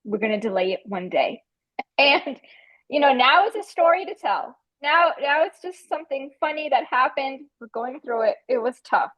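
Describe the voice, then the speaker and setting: A woman's voice: sits high, expressive, moderate pace, a bit bright. An American woman around her thirties or forties, telling an anecdote casually as a podcast guest, on slightly dull audio. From United States.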